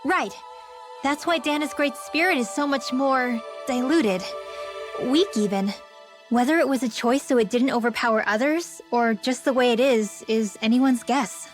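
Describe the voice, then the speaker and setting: A woman's voice: high-pitched, moderate pace, soft background music, lightly acted. A woman playing an earnest teenage girl mage in a video game cutscene, with a youthful delivery. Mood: thoughtful.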